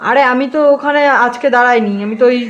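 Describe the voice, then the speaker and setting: Quick-talking woman teasing on a phone call. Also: a little high. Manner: teasing, laughing while fibbing. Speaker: prank caller. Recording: phone call with clear background noise.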